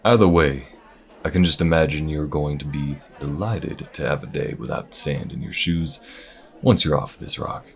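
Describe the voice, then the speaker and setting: A man voicing an easygoing, wry alien bounty hunter with folksy, unhurried phrasing in an audio roleplay. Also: a bass voice, gravelly. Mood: warm, steady. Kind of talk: audio roleplay addressed to the listener. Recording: restaurant chatter behind. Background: restaurant crowd ambience.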